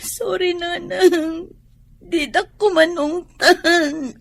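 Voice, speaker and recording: Slow, high-pitched, meek young Filipino woman. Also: fairly even intonation, creaky, fairly bright tone, heavily acted. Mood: tearful, sorry. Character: pregnant, ailing young daughter, meek and pleading. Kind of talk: radio drama acting.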